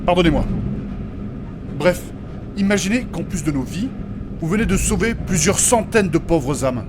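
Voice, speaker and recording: Casting: earnest, formal gentleman mage played by an actor, courteous and faintly pompous, fantasy audio comedy, clear train noise behind. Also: medium pitch, animated, moderate pace, natural voice. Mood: solemn, reassuring. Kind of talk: comic fantasy audio drama acting.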